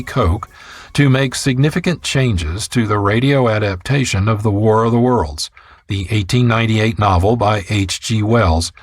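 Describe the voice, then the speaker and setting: A man's voice: mid-range voice, dry recording. Man hosting a radio show, scripted narration, really dry close studio sound. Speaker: radio producer and host.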